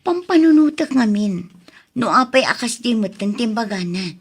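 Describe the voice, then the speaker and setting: An actress plays a trusting young woman in a romantic drama. Her voice is high and slightly rough, with an anxious, easily wounded temper. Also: animated, normal speed. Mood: worried. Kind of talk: Ilocano radio-drama style acting.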